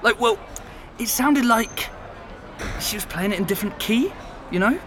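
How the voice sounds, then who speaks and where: Casting: talkative, exasperated male flatmate, lightish high register, animated anecdote delivery, audio drama with music. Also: moderate pace, a crowd in the background. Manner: animated storytelling, mimicking and exclaiming. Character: exasperated, chatty former flatmate being interviewed. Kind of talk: conversational audio drama acting.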